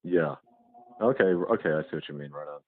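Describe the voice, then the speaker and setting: A man's voice: extremely low pitch, a little fast. A man interviewing a musician over a muffled phone line in a casual, hesitant way, rambling through his questions.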